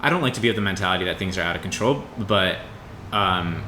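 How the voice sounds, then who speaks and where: A man in his mid-twenties in a casual, reflective podcast conversation, rambling and informal as he thinks out loud. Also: deep voice, lively intonation, quick pace. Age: mid 20s. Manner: rambling, informal with mild swearing. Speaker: podcast co-host. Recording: roomy echo with faint background noise.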